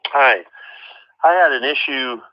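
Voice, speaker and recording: An American man talking on a phone call as a caller with a complaint, halting and exasperated, repeating himself. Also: medium pitch, no echo. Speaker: caller, relative of a patient. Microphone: telephone. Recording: dull lo-fi telephone line.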